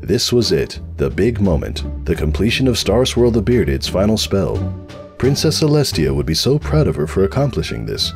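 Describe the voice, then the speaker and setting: A man reading scripted comic fiction as a dramatic narrator, mock-grandiose with deadpan asides. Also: low voice, moderate pace, over music.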